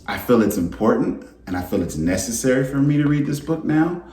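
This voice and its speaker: A man reading a children's picture book aloud as a school principal, in a deliberate, expressive way. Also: medium pitch. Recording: roomy, echoey indoor sound.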